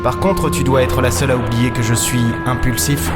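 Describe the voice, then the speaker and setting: A man playing a cynical loner starship captain with a mid-pitched voice and a sardonic, gruff edge. He sounds like a noir hero in a science-fiction audio serial, over prominent background music. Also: little pitch movement, moderate pace, natural voice. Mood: dismissive. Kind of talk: sci-fi audio drama, noir-like first-person narration and dialogue. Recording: music under the voice.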